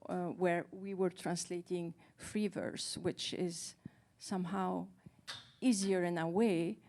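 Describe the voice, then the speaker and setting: A woman co-translator who is a native Farsi speaker, talking on a panel, hesitant and pausing often to search for words. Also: lowish voice, fairly even intonation, warm tone. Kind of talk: casual panel talk.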